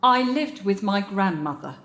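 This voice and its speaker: A woman's voice: medium pitch, expressive, unhurried, a bit bright, roomy sound. An actress playing a defensive, defiant woman under questioning in an audio drama, terse and guarded.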